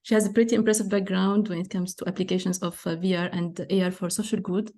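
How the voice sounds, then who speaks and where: A woman's voice: medium pitch, fairly even intonation, fast talker, dark tone. A woman hosting an online session in an informal way. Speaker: session host. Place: online video call.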